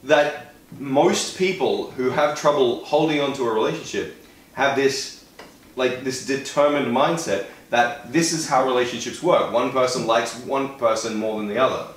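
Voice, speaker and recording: A man's voice: mid-range voice, moderate pace. Stammering man steering a chat. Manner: stammering restarts, steers the topic. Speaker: podcast co-host. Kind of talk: casual group chat. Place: living room around a table, small group. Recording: very echoey room.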